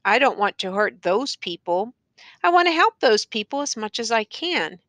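A woman's voice: on the high side, big pitch swings, moderate pace, a bit bright, dry recording. A woman voicing an earnest, exasperated male schoolteacher who pleads insistently in educated diction in an audiobook story. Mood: pleading.

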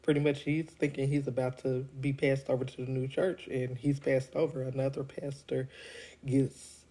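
A deep-voiced woman, congested from a cold, chats through a review into a phone held at arm's length. Her tone is dark and mellow, and she talks loosely with plenty of ums and quick laughs. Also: flat delivery, slightly rough. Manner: chatty, laughs often, many ums. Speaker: show reviewer. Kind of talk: casual vlog review. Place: indoors at home.

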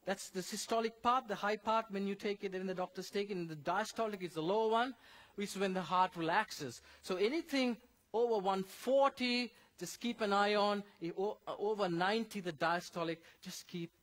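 Middle-aged man from Sri Lanka, high voice, church health seminar speaker, chatty and explanatory. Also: normal speed, fairly bright tone. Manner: conversational and explanatory, breaks down medical terms.

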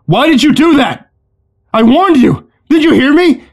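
Man acting out a panicked, high-pitched, defensive gunman. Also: sing-song, unhurried, full character voice. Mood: defensive, frantic. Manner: blurting, stammering, blathering. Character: panicked, defensive man waving a gun, shouting excuses.

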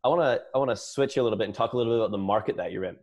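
A man's voice: lowish voice, rapid-fire. A young man hosting an interview in a casual, chatty way. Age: young adult. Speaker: interview host. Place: room at home. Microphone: wired earbuds on a video call.

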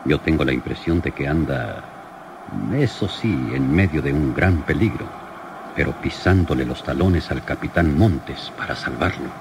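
Very deep-voiced police lieutenant. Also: male voice. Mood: grave, pondering. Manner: deliberate, questioning, commanding. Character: shrewd, worried police lieutenant in charge. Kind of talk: old radio serial acting. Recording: noisy old radio drama recording.

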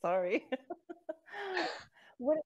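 A woman's voice: medium pitch, animated, very slow, darkish tone. A woman from the United States in her thirties tutors English over a video call, curious and patient, laughing easily. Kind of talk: online tutoring chat. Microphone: earphone mic on a video call.

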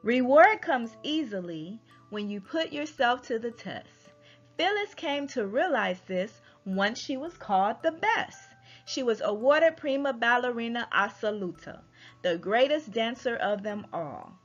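A woman narrates a rhyming picture book for children at an unhurried pace. Her delivery is expressive, with animated rises and falls. The recording is slightly muffled, with music softly behind her. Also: on the high side. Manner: expressive, deliberate storytelling delivery. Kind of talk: read-aloud rhyming children's story narration. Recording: dull lo-fi audio with music behind.

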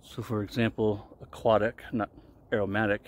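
A man in his forties giving a casual explanatory talk to camera as a vlogger, with deliberate, halting phrasing, recorded outdoors. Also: on the low side, flat delivery. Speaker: vlogger reviewing shaving products. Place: outdoors in a wooded park clearing. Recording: noisy outdoor ambience. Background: outdoor ambient noise.

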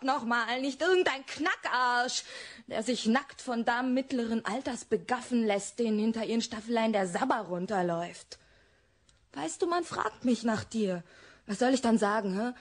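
High-voiced, volatile bohemian actress. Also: female voice, husky. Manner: theatrical, swinging between muttering and outbursts. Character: volatile, lovestruck young bohemian actress, exasperated with her lover. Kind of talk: German radio drama acting.

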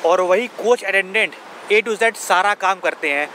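A man's voice: high voice, a little slow, pushed and bright. A young man from India vlogging to a camera held at arm's length on an outdoor lawn, explaining points earnestly and asking rhetorical questions. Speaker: travel vlogger. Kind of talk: casual travel vlog talk to camera. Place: outdoors on a grassy campus lawn. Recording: outdoor noise behind voice.

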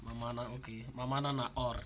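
A man hosting a radio game show, quizzing and teasing his guests in lively banter. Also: medium pitch, brisk, a crowd in the background. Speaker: radio quiz host.

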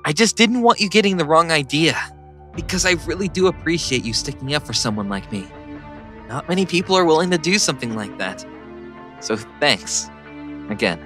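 A man's voice: on the high side, animated, fairly quick, fairly bright tone, faint music behind. A man voicing an awkward, meek teenage schoolboy in a comic dub, hesitant and apologetic. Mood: earnest, self-deprecating. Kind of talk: dramatic comic dub acting.

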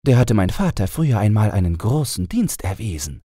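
A man's voice: fairly low pitch, big pitch swings, brisk, dark tone, husky, dry recording, clean studio sound, voice pushed up. A man voicing a cheerful young fairy-tale princess with playful, naive, bossy chatter. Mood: cheerful, earnest.

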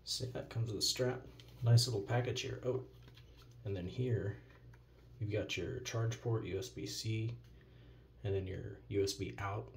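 A man's voice: on the low side, steady tone, a little slow, gravelly, long pauses. An American man giving a casual, offhand product demonstration as a reviewer, recorded indoors at a countertop. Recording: noticeable noise, handling clicks.

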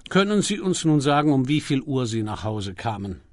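A man voicing a stern, easily indignant male coroner in an audiobook, brisk and commanding as he questions. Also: medium pitch, big pitch swings, darkish tone, dry recording, clean studio sound, lightly acted. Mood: brisk, official. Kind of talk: audiobook character dialogue.

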